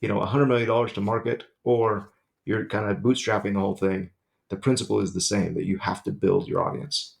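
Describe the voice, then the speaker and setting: Creaky-voiced male podcast host. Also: lowish voice, level tone, brisk. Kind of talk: casual interview chat.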